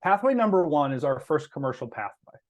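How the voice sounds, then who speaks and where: A man speaks as a company executive presenting to investors. His voice sits a little high and moves with very animated intonation. He explains in a deliberate way, leaning on the key terms. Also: lo-fi sound.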